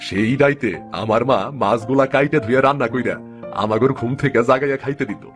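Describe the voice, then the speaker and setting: A man's voice: mid-range voice, fairly quick, faint music behind, close to an everyday voice. Man acting a meek village fisherman, rural Bangladeshi accent. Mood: fond. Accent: rural eastern Bengali (Bangladeshi). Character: poor, meek, good-natured village fisherman, husband and father. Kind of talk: dramatic cartoon story dub.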